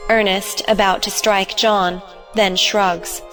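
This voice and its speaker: A woman's voice: mid-range voice, flat delivery, slow, fairly bright tone, soft background music. A woman giving scripted narration, reading out the stage directions of a play.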